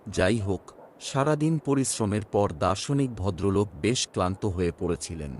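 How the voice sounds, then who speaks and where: A man narrating a scripted horror story as a storyteller, in a dramatic, measured delivery. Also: fairly low pitch, lively intonation, a little mellow, a little hiss or hum. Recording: music under the voice. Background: background music.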